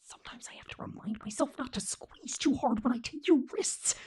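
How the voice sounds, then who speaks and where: A woman's voice: sits high, a little mellow, slightly rough. A woman voicing a possessive, lovesick young male killer robot in an intimate, coaxing ASMR roleplay monologue. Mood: tender, obsessive. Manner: slow, intimate, coaxing whisper.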